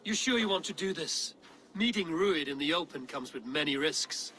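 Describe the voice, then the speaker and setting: An actor playing a bold, threatening Viking warrior in a video game, with a blunt and commanding delivery. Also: male voice, on the high side, lively intonation, normal speed, sharp tone, a little hiss or hum, low-quality recording. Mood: blunt resolve. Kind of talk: dramatic video game acting.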